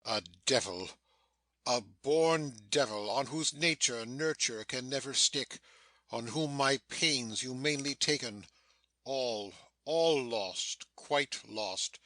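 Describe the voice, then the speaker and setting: Guy acting a bitter bossy wizard, slow theatrical shakespeare delivery, sharp voice. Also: medium pitch, no room sound. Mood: bitter, vengeful. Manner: deliberate, commanding, theatrical. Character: stern, embittered ageing sorcerer and master. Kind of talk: dramatic Shakespearean audiobook reading.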